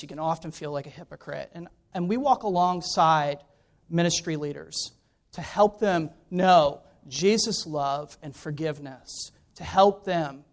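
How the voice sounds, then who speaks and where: A middle-aged American man speaks as a visiting preacher. His voice sits high for a man and rings clean and smooth. He talks in an informal, conversational way with a self-deprecating streak. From Maryland, United States. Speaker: guest preacher, pastor. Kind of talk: informal spoken introduction to a sermon.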